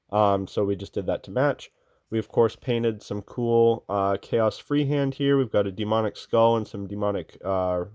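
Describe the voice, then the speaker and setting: A man's voice: lowish voice, dark tone, no room sound, clean studio sound. A man hosting his hobby channel, walking through a finished model in a rambling, enthusiastic way with many fillers, a little sick and losing his voice. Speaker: miniature hobby channel host. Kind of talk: casual show-and-tell walkthrough.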